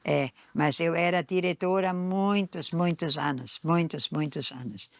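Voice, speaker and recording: An older woman, a retired teacher and principal, recalling her schools in a halting way and often repeating herself. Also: deep voice, gravelly, a bit bright, no echo. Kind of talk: interview reminiscence.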